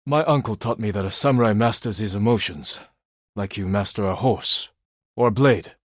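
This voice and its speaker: An actor playing a grave, honor-bound young samurai lord in a video game, speaking in a measured, restrained way with short, solemn sentences. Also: male voice, on the low side, no room sound, studio quality, close to an everyday voice.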